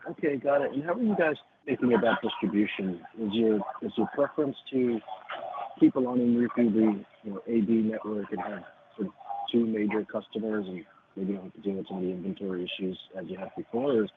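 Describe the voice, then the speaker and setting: Over a tinny phone line with clear background noise, a male analyst asks questions. His voice is fairly low, and his delivery is informal and halting. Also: expressive. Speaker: financial analyst caller. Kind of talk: casual questioning on earnings call.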